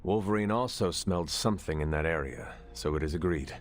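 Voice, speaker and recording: Low, formal, solemn warrior, played by a man. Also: natural voice. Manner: formal, measured, avoids contractions. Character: solemn male demon hunter, resurrected warrior, earnest, formal. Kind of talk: video game cutscene acting.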